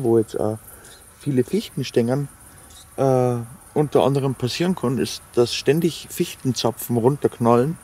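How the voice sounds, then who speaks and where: A man's voice: medium pitch, gravelly, mellow, husky. Man chatting at night camp. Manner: rambling, talks to his dog, fillers. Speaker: outdoor vlogger. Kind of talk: casual talk to camera. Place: forest camp at night, outdoors.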